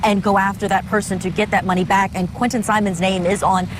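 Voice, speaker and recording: A woman's voice: medium pitch, level tone, fairly quick. A woman reporting live as a legal correspondent in an explanatory news style. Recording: noisy outdoor street with traffic.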